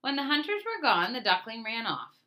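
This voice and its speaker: A woman reading a children's storybook aloud in an expressive, emphatic way. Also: a little high, brisk. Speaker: storybook reader.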